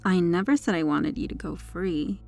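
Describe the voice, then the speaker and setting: A smug, possessive superheroine played by a woman speaks in a mellow voice with plenty of creak at the edges. She is flirtatious and condescending, teasing her captive in an intimate ASMR roleplay. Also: lowish voice, moderate pace, soft background music. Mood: teasing condescension. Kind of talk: ASMR roleplay monologue addressed to the listener.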